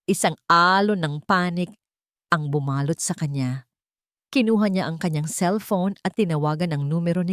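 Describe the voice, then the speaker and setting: A woman's voice: sits low, no room sound, studio quality. A woman reading a scripted story as a dramatic narrator. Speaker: narrator of romance stories.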